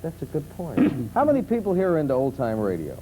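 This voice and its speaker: A man hosting a talk show, putting halting, musing questions to his guest in a conversational way. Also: on the high side, wildly swooping pitch, dark tone. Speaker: talk show host, interviewer. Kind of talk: conversational interviewing. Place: television studio with audience. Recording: muffled 1970s television tape with hum.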